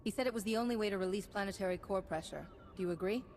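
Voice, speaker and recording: An actress playing a resolute, wry heroine in a video game, speaking in a direct and assured way. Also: female voice, medium pitch, very fast, darkish tone, a little hiss or hum, lightly acted. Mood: businesslike. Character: resolute, wry Republic heroine taking charge. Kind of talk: video game cutscene acting.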